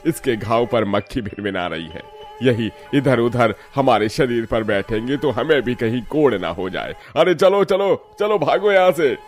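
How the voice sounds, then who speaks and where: A man's voice: medium pitch, animated, a little slow, faint music behind, natural voice. A man playing a fussy, disgusted sweet-maker in a cartoon story, exclaiming in alarm. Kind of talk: Hindi cartoon story acting.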